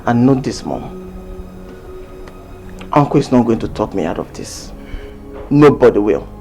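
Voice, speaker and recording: Young prince, mid pitch, stubborn, forceful. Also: male voice, big pitch swings, unhurried, creaky, soft background music. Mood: enraged. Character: defiant young prince claiming the crown.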